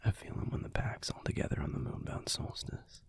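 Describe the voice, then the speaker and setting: A man playing a tender, wistful werewolf boyfriend in an intimate audio roleplay, speaking in a hushed, halting, husky and breathy voice with a gravelly edge. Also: in a whisper, close to an everyday voice. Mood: tender, reflective. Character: tender, wistful young male werewolf pack leader boyfriend.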